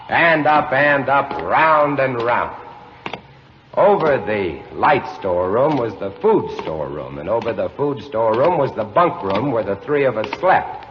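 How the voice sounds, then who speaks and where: An actor narrating as a lighthouse keeper with vivid, dramatic storytelling in a 1950 radio drama. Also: male voice, medium pitch, slight background noise, natural voice. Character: lighthouse keeper recounting a horror, male, adult. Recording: dull old radio broadcast with music.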